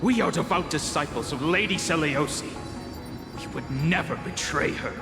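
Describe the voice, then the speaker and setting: An actor playing a fawning, zealous male disciple who urges his ruler to punish his rivals, with a gravelly voice. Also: fairly high pitch, moderate pace, lightly acted. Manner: pleading then scornful, urging. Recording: echoey reverb with game music.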